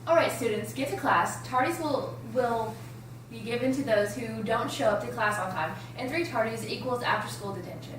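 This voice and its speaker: A woman's voice: a little high, moderate pace, fairly bright tone, lightly acted. A woman playing a stern, authoritative female school staffer in an amateur drama table read. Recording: roomy, distant, faint noise.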